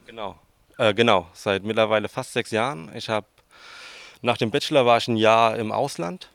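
A man's voice: fairly low pitch, normal speed, vocal fry, a bit bright, no echo. A man in his twenties with a southern Hessian German accent, answering interview questions informally and a little hesitantly. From southern Hesse, Germany. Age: 20s. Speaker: interviewee, student researcher.

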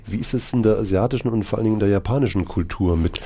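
A man conducts a conversational interview in a low, mellow voice. He talks quickly but wanders through his questions, filling them with hesitations before landing on the point. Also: husky. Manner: rambling questions with many "äh" fillers. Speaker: radio interviewer.

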